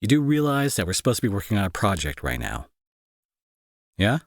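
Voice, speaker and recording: Man acting a irritable young bully, wildly swinging pitch. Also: huge pitch swings, fairly quick, creaky, dry recording, clean studio sound, heavily acted. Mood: irritated, demanding. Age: young adult. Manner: sarcastic, scolding, exasperated. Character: irritable young male bully, gruff but secretly worried. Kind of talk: intimate roleplay audio drama acting.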